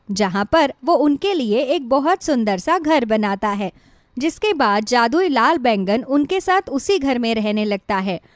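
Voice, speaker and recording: A woman's voice: sits high, no echo, studio quality. A woman reading scripted narration for a children's story.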